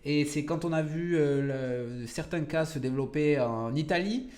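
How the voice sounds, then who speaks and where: French scientist mixing in English words. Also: male voice, a little high, normal speed, darkish tone. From France. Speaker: cancer researcher, guest. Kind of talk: podcast interview.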